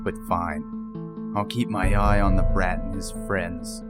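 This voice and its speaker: Man voicing surly squad leader, very deep, deadpan, curt, sardonic, audio drama, loud music underneath. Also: slow pace. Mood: sardonic, annoyed. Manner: curt, deadpan, coarse-mouthed. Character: gruff, irritable, sardonic male faction leader. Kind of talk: scripted audio drama acting.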